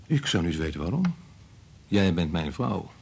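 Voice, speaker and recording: Cynical, belittling husband in a radio play; low voice, swooping, persuasive delivery. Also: male voice, sing-song, a little slow, gravelly, a little mellow, slightly rough, exaggerated voice. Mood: cynical, dismissive. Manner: sardonic, dismissive, persuasive. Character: cynical, pushy biologist husband and father, belittling. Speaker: radio drama actor. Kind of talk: Dutch radio play acting.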